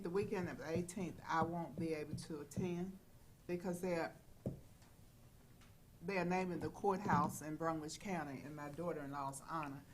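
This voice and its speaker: A woman commissioner speaking deliberately, restarting her sentences. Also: low voice, a little hiss or hum. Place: council chamber.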